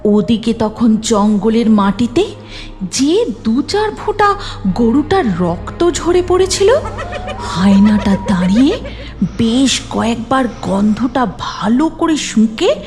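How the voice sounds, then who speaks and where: Casting: female cartoon narrator, high voice, unhurried and dramatic, expressive range. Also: faint music behind.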